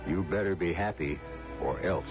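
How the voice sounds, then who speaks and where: A man's voice: sits low, normal speed. A man hosting a radio drama with wry, theatrical scripted narration and rhetorical questions, on a muffled old broadcast. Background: music.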